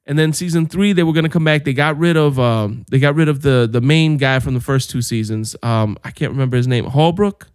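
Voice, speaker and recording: A man's voice: mid-range voice, brisk, hardly any pauses, clean tone, no room sound, clean studio sound. A young man co-hosting a podcast in casual chat, ranting and swearing freely and breaking into laughs mid-sentence. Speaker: podcast co-host.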